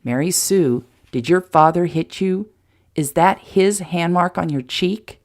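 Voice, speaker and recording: A woman reading a protective young man who speaks low and softly with concern. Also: a little slow. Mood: fierce, upset.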